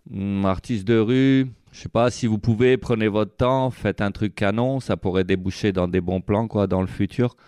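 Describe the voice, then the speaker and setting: A man's voice: on the low side, steady tone, moderate pace, hardly any pauses, darkish tone, clear, resonant tone. A man being interviewed as a street artist, talking casually and rambling with many fillers. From France.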